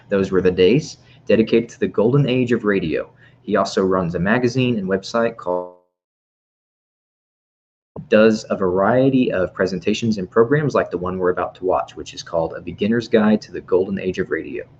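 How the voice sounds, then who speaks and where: A man's voice: low-pitched, a little mellow. An American man hosting a library event, giving an informal introduction on an online stream. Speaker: library host introducing a speaker. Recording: dull audio with faint noise.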